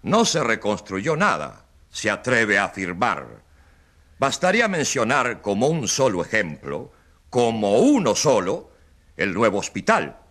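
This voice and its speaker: A pompous populist politician holds forth in a radio courtroom drama. His voice is husky and forceful, swinging widely in pitch, and his delivery is theatrical, scornful and mocking. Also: big pitch swings, unhurried, heavily acted. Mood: pompous, assured.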